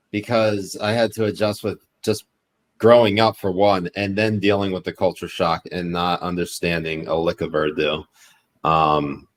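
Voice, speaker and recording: A man with a lowish voice chatting casually as a podcast guest. He rambles, circles back and restarts his sentences, stacking up fillers as he goes. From United States, partly raised in Pakistan. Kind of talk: casual chat.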